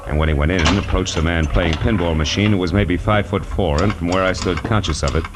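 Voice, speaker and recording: An actor playing a wisecracking, world-weary private detective in 1940s radio noir style, wry and clipped. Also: male voice, deep voice, fast, background noise, natural voice. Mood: wry narration. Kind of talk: 1940s radio noir acting and first-person narration. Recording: vintage 1940s radio recording, music behind.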